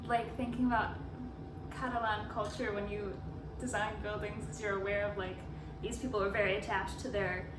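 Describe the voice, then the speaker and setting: A woman's voice: medium pitch, flat delivery, moderate pace, echoey room, recorded from a distance, noisy background. A woman in her twenties interviewing a friend in a friendly, conversational way. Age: 20s. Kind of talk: casual interview.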